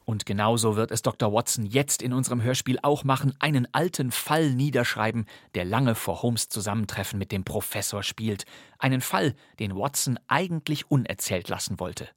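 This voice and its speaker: A man's voice: mid-range voice, normal speed, no room sound, studio quality. A man hosting a podcast, giving a scripted introduction to a radio play. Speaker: podcast host.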